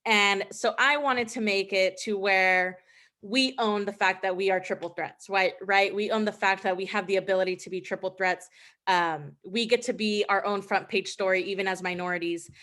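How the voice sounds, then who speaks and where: A woman's voice: mid-range voice, fairly bright tone. Guest speaker, woman in her 20s, chatty and informal, lots of ums, on a video call from home. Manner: casual, chatty, frequent ums. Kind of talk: online presentation.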